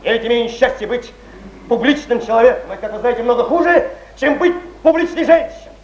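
Agitated, high-pitched, rapid-fire wounded poet. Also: male voice, lively intonation. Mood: desperate. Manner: impassioned, declamatory. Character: agitated, wounded poet defending his honour. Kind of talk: theatrical stage declamation. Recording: echoey, muffled 1970s theatre recording, faint hiss.

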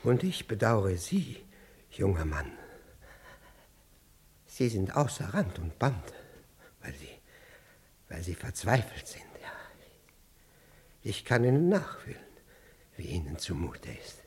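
An actor in a 1960s German radio play voicing an indignant, flustered, pompous jealous husband who stammers through formal phrases. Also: male voice, low-pitched, very animated, slow pace, long pauses, slight background noise, exaggerated voice. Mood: subdued, huffy.

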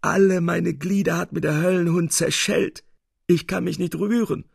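A man's voice: high-pitched, fairly even intonation, moderate pace, vocal fry, slightly rough, no echo, clean studio sound, voice pushed up, exaggerated voice. A man voicing a pitiful, self-pitying old man in an audiobook story, groaning and effusive in his speech. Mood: pained wailing.

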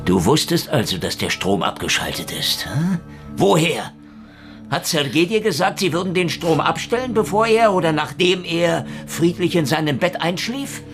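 An actor playing a tough, middle-aged detective in a noir radio drama, sardonic, taunting and brusque. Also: male voice, on the high side, animated, normal speed. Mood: taunting, threatening. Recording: music under the voice.

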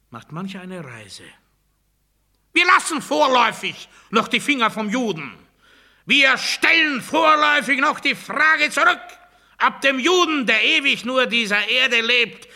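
Radio play casting: imprisoned demagogue, delusional and commanding, high sharp voice, declamatory delivery moving from mutter to oratory. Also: male voice, heavily acted. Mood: muttering, sinister, then haranguing. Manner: declamatory, rhetorical, swinging between hushed muttering and loud oratory. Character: ranting male demagogue in a cell, delusional and commanding. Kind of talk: German radio play monologue.

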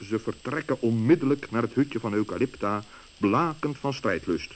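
A man's voice: mid-range voice, expressive, moderate pace. A man reading scripted narration for a children's radio story. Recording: dull old radio recording, faint hiss.

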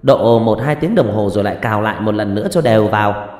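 A man voicing a domineering, hot-tempered village mother who scolds, curses and laments in shrill exclamations, in an audio story. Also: fast talker, darkish tone, close to an everyday voice. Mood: bossy. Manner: scolding, shrill exclamations, coarse rustic cursing. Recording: echoey reverberant voice over music.

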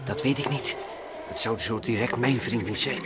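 A man's voice: mid-range voice, moderate pace, a loud crowd, lightly acted. An actor playing an easygoing, sensible male adventurer in an old children's radio play, dryly teasing and conciliatory.

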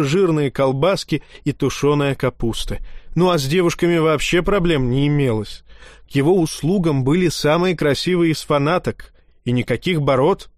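A man reading a humorous fantasy tale aloud as an audiobook narrator, lively in his storytelling. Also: a little high, no room sound, studio quality.

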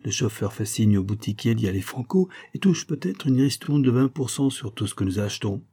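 Man acting a gruff, suspicious tourist husband. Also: medium pitch, animated, fairly quick, mellow. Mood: scornful. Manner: gruff, sardonic, colloquial. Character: grumbling, suspicious, penny-pinching American tourist husband.

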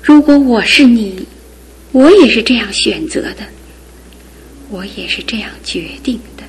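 Slow soft-spoken aunt, middle-aged, half whispering, bit high. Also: female voice, expressive, a little hiss or hum. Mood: wistful. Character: aging aunt, gentle, grieving an old love. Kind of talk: radio drama acting.

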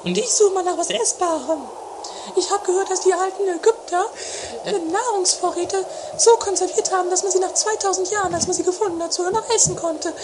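A woman's voice: very high-pitched, fairly quick, background noise. A woman playing a naive, chatty, argumentative sister in an amateur eighties comedy radio play. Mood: naive musing.

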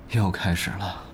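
A man's voice: low-pitched, fairly bright tone, slight background noise, exaggerated voice. A man acting a weary, resigned young guild officer in an audio drama, sighing and muttering.

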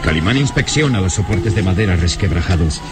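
A man's voice: sits low, expressive, a little fast, over loud music. A man narrating a radio adventure serial in a grave, literary, suspenseful manner.

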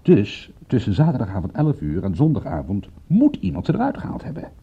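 A man playing a cynical, excitable private detective in a radio drama, speaking in a wry, emphatic, husky voice. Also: lowish voice, brisk, full character voice. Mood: reasoning. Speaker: detective and narrator. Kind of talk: radio drama acting and narration. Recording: dull, muffled old radio recording.

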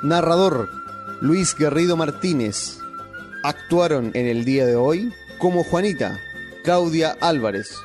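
A man's voice: on the high side, animated, unhurried. A man reading scripted radio drama credits as an announcer. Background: music with flute.

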